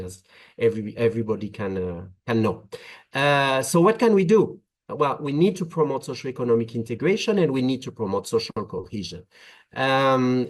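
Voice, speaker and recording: French-accented man speaking with many pauses. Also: fairly low pitch. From France. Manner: hesitant, frequent "uh" fillers, measured phrasing. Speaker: webinar panelist on migration policy. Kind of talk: policy talk, webinar presentation.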